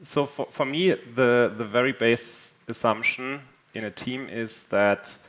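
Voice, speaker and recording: A man from northern Germany, speaking on a conference panel in a thoughtful, measured way with frequent fillers. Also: medium pitch, a bit bright, rough recording. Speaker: panel speaker, engineering executive. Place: conference stage.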